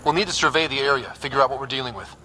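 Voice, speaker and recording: An actor playing an earnest young squad leader in a video game, decisive and plain-spoken. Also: male voice, medium pitch, rapid-fire, bright, forceful tone, slight background noise, poor audio. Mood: curious, focused. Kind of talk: video game cinematic acting.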